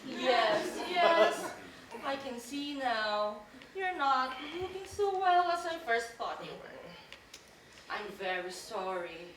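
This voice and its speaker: A brisk, shrewd sister needles her brother and plots behind his back, played by a woman. Her pitch is high and jumps around. It is heard far from the mic in an echoey hall with clear noise. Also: sing-song. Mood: plotting. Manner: mocking, brisk. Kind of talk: live college stage play. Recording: echoey theater from far off, noisy.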